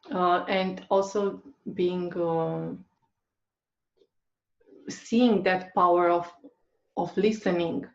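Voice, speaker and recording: A woman with a fairly low voice hosts an interview, asking her questions gently and with hesitant pauses. She sounds as if she is in a roomy space, and her video call audio comes through dull and muffled. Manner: gentle, hesitant, many uh fillers. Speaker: interviewer host.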